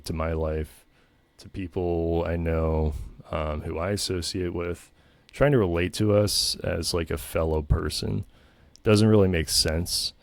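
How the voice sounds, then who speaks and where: Smug low-voiced man rambling on. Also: a little mellow, dry recording. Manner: smug, pompous and self-aggrandizing; meandering, full of ums and restarts. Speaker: comedy channel host. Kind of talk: rambling comedic monologue.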